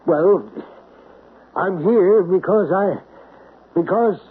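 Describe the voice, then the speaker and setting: An actor playing an anxious, guilt-ridden husband, hesitant and easily agitated, in old-time radio drama style. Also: male voice, high-pitched, extremely slow, husky, exaggerated voice. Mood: flustered irritation. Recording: tinny, band-limited old radio recording.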